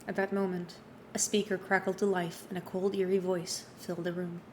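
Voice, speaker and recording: A woman streamer reading a visual novel aloud, narrating lively with playful asides and self-corrections. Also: lowish voice, fairly quick. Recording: noisy home stream recording.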